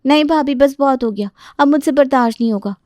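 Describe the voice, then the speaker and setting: A woman's voice: sits high, quick pace, darkish tone, dry recording, studio quality, natural voice. A woman voicing a hot-tempered young daughter-in-law who complains in exasperation in a family story. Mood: exasperated, angry.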